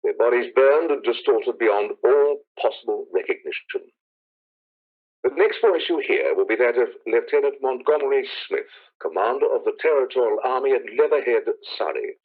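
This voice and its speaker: Man playing animated, formal old-time radio announcer. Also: medium pitch, normal speed, natural voice. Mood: grave, urgent. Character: formal male studio news announcer, urgent but composed. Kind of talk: 1930s radio bulletin acting. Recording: thin, phone-like vintage radio sound.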